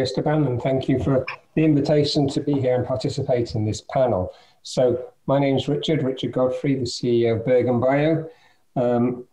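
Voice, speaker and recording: A man, a biotech chief executive, giving a measured self-introduction on an online panel with frequent ums and repeated words. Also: medium pitch, warm tone, rough recording. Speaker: biotech company CEO, panelist.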